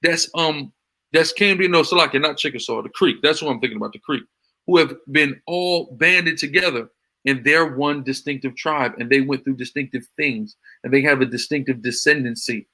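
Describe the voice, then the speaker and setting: A man's voice: medium pitch, normal speed, sharp tone, low-quality recording. A man hosting a live reaction stream, talking in a heated, combative way and repeating himself as he argues. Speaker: livestream host reacting to videos.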